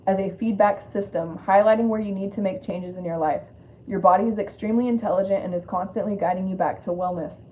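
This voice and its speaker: A woman with a slight lisp and a medium-pitched voice hosts a spiritual card reading online. She talks in an informal, rambling way, shifting between read-aloud passages and loose chat. The recording is dull and lo-fi, with clear noise behind her. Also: a little mellow. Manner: informal, rambling asides with swearing. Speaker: spiritual card reader hosting a live stream.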